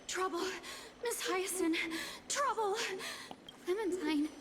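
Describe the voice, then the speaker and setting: A woman's voice: extremely high pitch, takes it slowly, fairly bright tone, slight background noise, exaggerated voice. A woman voicing a small, panicky doctor bringing bad news in a video game, frantic and pleading. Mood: panicked. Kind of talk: animated video game voice acting.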